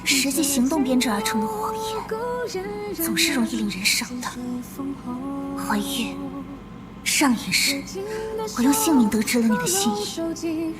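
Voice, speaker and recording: A woman voicing a melancholy young noblewoman who narrates her tragic love in an animated period romance. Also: high voice, a little slow, long pauses, rough recording. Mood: wounded, bitter. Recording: loud background music.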